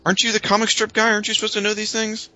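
A man's voice: sits high, completely flat delivery, rapid-fire, bright, forceful tone, highs cut off. An American man co-hosting a podcast in casual, conversational chat. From United States. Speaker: podcast co-host.

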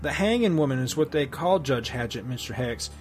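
A man's voice: medium pitch, expressive, brisk, soft background music, natural voice. A man voicing an eager, admiring young boy in a comic story, in a raised voice.

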